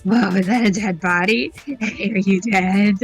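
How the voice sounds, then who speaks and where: A woman's voice: fairly high pitch, slightly rough, heavily acted. A woman voicing an unhinged, gleefully sinister young killer in a theatrical, cackling video game style.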